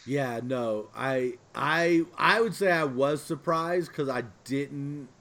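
Male podcast host, mid-pitched voice, informal sweary chat between friends. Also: slow pace, gravelly, a bit bright.

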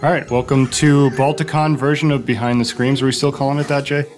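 A man's voice: medium pitch, flat delivery, fairly quick, music in the background. A man hosting a podcast panel, chatting informally and with self-deprecation in an outdoor hotel courtyard. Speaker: podcast host. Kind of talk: casual panel chat.

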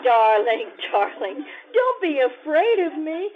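Actress as coaxing bride, high swooping voice, slow delivery, theatrical radio comedy, noisy dull recording. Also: female voice, big pitch swings, a loud crowd, exaggerated voice. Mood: coaxing, seductive. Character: amorous, coaxing new bride. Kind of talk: 1950s comedy radio acting on stage. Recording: muffled, noisy live stage audio.

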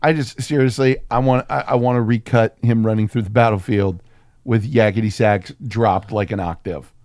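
A man's voice: fairly low pitch, steady tone, moderate pace, a little mellow, no room sound, studio quality. A man co-hosting a film podcast in casual comedic banter, irreverent and sweary, slipping into mock voices as he riffs. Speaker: podcast co-host reviewing films.

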